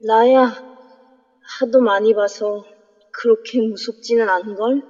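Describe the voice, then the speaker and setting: A woman playing a feisty young woman who films the action, sharp and indignant, in an amateur audio drama. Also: fairly high pitch, fairly even intonation, measured pace. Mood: startled. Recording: dull lo-fi voice app audio with long reverb.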